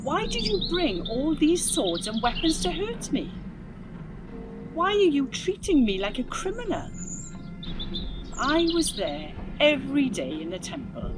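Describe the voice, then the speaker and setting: A woman's voice: high voice, big pitch swings, unhurried, over music, voice pushed up. A woman voicing a wronged holy man in a storybook, questioning his captors emphatically. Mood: pleading.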